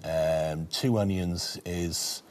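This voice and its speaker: A man's voice: low-pitched, fairly even intonation, extremely slow, a little hiss or hum. A man competing on a television quiz, talking clues through hesitantly and at length with his team. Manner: hesitant, musing, wordy. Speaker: quiz contestant. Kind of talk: conferring with teammates. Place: television studio.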